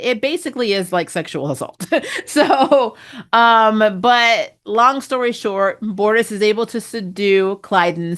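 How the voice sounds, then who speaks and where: A woman hosting a casual spoiler review of a TV episode, chatty and amused, laughing often and filling pauses with "um" and "you know". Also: medium pitch, a bit bright. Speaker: TV review host.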